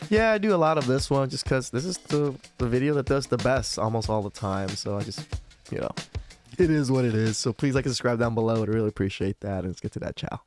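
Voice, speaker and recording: A man's voice: medium pitch, fast talker, darkish tone, soft background music. A man hosting a podcast, reacting to clips in a laid-back, casual way with some swearing. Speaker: podcast host.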